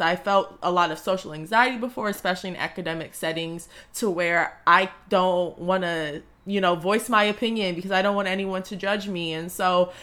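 A young woman in her twenties, a podcast host, talking earnestly and reflectively about her own experiences. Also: medium pitch. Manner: earnest, reflective, with soft laughs. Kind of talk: personal monologue.

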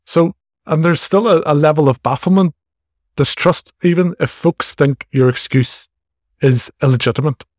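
A man's voice: fairly high pitch, normal speed, darkish tone, no room sound, clean studio sound. A man in his forties with an Irish accent, hosting his own podcast in a frank, chatty way. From Ireland. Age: 40s. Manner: frank, chatty, self-deprecating. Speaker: podcast host. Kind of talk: casual solo podcast monologue.

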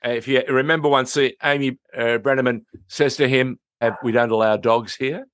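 A mature man hosts a chatty TV review in a mid-pitched voice. He leans on phrases and repeats them for emphasis. The sound is dry, with very little room in it. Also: fairly even intonation, moderate pace, fairly bright tone, dry recording. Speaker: host reviewing TV series. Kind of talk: conversational review chat.

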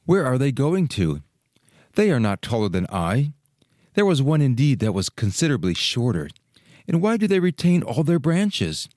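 A man's voice: medium pitch, very animated, moderate pace, a little mellow, no echo, clean studio sound, close to an everyday voice. A man playing a young fir tree in a storybook, restless and yearning, speaking in earnest exclamations and questions. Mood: eager curiosity. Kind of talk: dramatic storybook reading.

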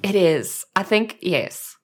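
A woman's voice: fairly low pitch, big pitch swings, slow pace. A woman with a South African accent chatting as a podcast guest, thinking aloud as she talks. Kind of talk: casual interview chat.

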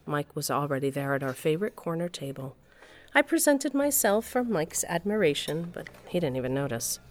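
Wry, low-voiced middle-aged wife. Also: female voice, big pitch swings, normal speed. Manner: wry, sardonic. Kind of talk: first-person audio drama narration and dialogue.

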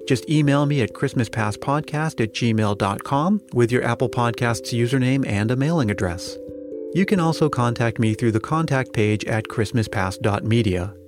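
A man's voice: medium pitch, moderate pace. A man hosting a podcast in a polished, courteous, scripted manner. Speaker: podcast host, interviewer. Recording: music under the voice. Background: music.

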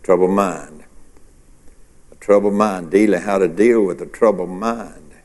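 Man, pastor, preaching, unhurried, rhetorical questions, echoing church hall. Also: deep voice, a little mellow. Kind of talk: sermon.

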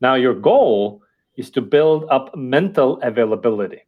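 Podcast guest, male, Estonian native, reflective and halting, mid-range voice. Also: medium pitch, animated, phone-quality sound. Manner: thoughtful, hesitant with fillers and restarts. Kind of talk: casual interview talk.

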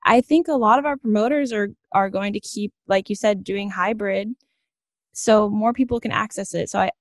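Woman podcast host just chatting, supportive and affirming, normal pitch, really dry sound. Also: moderate pace, no room sound. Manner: encouraging, affirming. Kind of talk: casual interview chat.

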